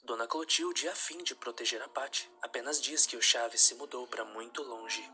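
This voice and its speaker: A man narrates a dark scripted story in a mid-range voice. Music plays clearly behind his reading. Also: mid-range voice, moderate pace.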